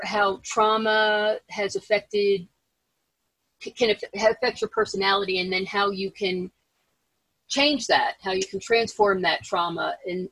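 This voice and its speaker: A woman hosting a casual interview, speaking in a halting, enthusiastic way with frequent restarts. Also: mid-range voice, fairly bright tone, no room sound, rough recording. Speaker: podcast host interviewing a guest.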